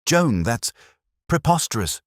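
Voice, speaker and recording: A man's voice: mid-range voice, very animated, unhurried, no echo, clean studio sound, voice pushed up. A man voicing a flustered, helpless male town councillor who splutters defensively. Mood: spluttering.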